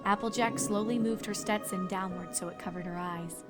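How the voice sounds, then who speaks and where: A woman's voice: mid-range voice, steady tone, moderate pace. A woman reading scripted third-person narration for a fan fiction story. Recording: background music under the voice.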